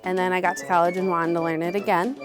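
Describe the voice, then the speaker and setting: A woman's voice: on the low side, level tone, fast. Young woman teacher over music. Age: young adult. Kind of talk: casual interview. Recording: music under the voice.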